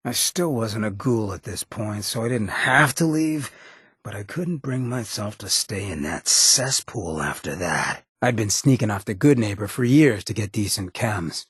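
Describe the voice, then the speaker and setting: Casting: roguish ghoul game companion, heavily rasping low voice, streetwise, blunt, loyal, slangy drawl. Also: male voice, lively intonation, unhurried, a bit bright, no echo, studio quality, full character voice. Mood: grim, reflective. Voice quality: husky, gravelly rasp. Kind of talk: video game companion dialogue.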